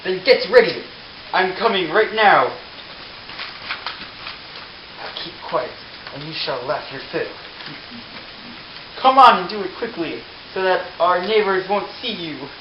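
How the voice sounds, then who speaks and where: A man's voice: high-pitched, expressive, measured pace, background noise. A man voicing a saucy, scolding, teasing character in an amateur puppet show, with a brash manner. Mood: mischievous. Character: saucy, scolding young wife or teasing townsperson. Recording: roomy, dull lo-fi sound, music behind.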